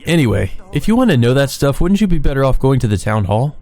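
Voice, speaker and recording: A man's voice: mid-range voice, sing-song, fast, darkish tone, voice pushed up. A man voicing a grumpy, blunt village man in a visual novel. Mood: matter-of-fact. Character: grumpy, resentful village man guarding his lunch.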